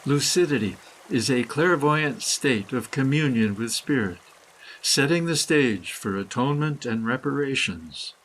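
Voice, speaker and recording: A man reading a scripted, poetic guided meditation in measured, deliberate phrases with pauses. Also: medium pitch, animated, a bit bright, low-quality recording. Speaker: guided meditation reader, author.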